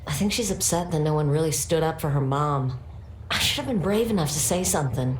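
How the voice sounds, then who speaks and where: A woman's voice: deep voice, expressive, brisk, reverberant, a little hiss or hum. An actress voicing a timid, remorseful village woman confiding in someone in a video game. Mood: regretful, anxious. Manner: confiding, regretful. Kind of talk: video game character acting.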